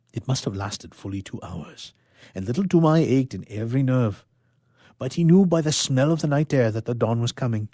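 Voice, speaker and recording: A man narrating a story dramatically, with expressive, suspenseful delivery and phrases that drop to a hush. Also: fairly low pitch, slightly rough, dry recording, clean studio sound. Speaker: storyteller, reader.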